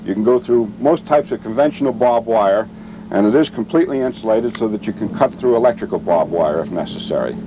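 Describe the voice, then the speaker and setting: A man giving a military training lecture as an instructor, matter-of-fact and measured, speaking in short deliberate phrases. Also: fairly low pitch, expressive, highs cut off. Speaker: weapons instructor and presenter. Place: outdoors at a weapons demonstration. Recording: vintage training film, noisy outdoor sound.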